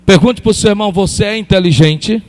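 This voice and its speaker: A man's voice: high-pitched, quick pace, darkish tone. A pastor in his fifties preaching a sermon, emphatic and repetitive, calling out to his congregation. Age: 50s. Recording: long hall reverb.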